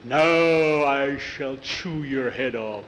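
A man voicing a boy who pretends to be a menacing shadow, drawing out slow, booming threats. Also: very noisy.

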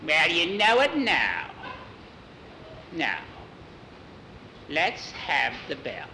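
Guy doing a haughty old witch for a kids story, high n swoopy, really exaggerated, bit noisy. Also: huge pitch swings, extremely slow, heavily acted. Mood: haughty scolding. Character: gleeful, crafty old witch sisters, cackling and haughty. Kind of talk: storybook character voices.

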